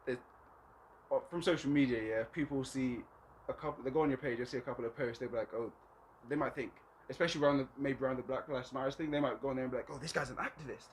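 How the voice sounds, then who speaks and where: Fast-talking slangy young man host. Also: mid-range voice, steady tone, a little hiss or hum. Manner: eager, slangy, full of agreeing interjections. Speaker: podcast host. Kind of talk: casual chat.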